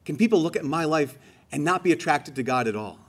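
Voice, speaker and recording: A man's voice: fairly high pitch, lively intonation, a little fast. A man giving a homily in a conversational, emphatic way, rising on key phrases. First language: English. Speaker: preacher.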